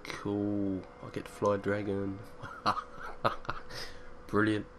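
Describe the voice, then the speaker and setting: A man's voice: on the low side, monotone, very slow. Jokey man narrating gameplay. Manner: jokey, chuckling asides. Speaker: let's-play host. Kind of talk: casual gameplay commentary. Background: game music.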